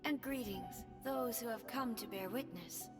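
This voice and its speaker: An actress playing a gentle, affectionate female former goddess who speaks softly with a light teasing touch in a game cutscene. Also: a little high, unhurried, in a large hall, over music, lightly acted. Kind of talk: video game cutscene voice acting.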